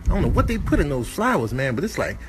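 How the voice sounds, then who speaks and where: A man's voice: fairly high pitch, very animated, fast, noisy background. A man voicing a cocky, streetwise, easily riled animal, griping in slang for a comic animal voice-over. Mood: mellow, dazed.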